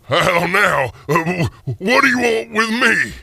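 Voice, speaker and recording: A nervous old male miser in a radio play, pitched high with a gravelly rasp. His querulous, stammering speech swoops up and down. There is long reverb around the voice. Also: very animated, slow pace, bright, forceful tone, heavily acted. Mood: startled. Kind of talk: radio theater acting.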